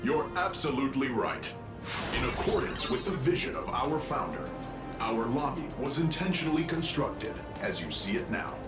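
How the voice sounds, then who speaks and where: A man's voice: medium pitch, lively intonation, moderate pace, lightly acted. A man playing a polished, genial corporate guide in a video game, formal, showy and deferential. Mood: proud, welcoming. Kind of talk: anime-style video game voice acting. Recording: echoey, loud music behind.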